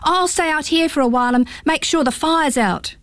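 A woman's voice: high-pitched, moderate pace, dry recording, voice pushed up, lightly acted. A woman voicing a polite, curious nine-year-old boy who stammers in surprise, in a storybook reading. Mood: nervous, bewildered. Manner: startled, stammering.

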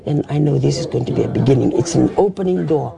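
Gravelly-voiced Fijian man explaining. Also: medium pitch, fast, crowd noise. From Fiji. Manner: earnest, explains with "see?" tags. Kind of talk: interview clip.

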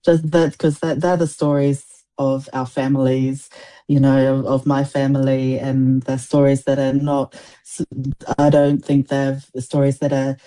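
A woman in her forties, born in Samoa, whose first language is Samoan. She has a low, mellow voice and answers in a reflective, halting way, often restarting her sentences. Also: flat delivery. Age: 40s. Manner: thoughtful, halting, many restarts and fillers. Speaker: poet, guest.

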